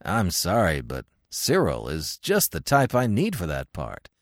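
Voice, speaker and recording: A curt, weary theatre producer who speaks low and flat and cuts people off, as a man reads him. The sound is dry and close. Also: a little slow, no room sound, heavily acted.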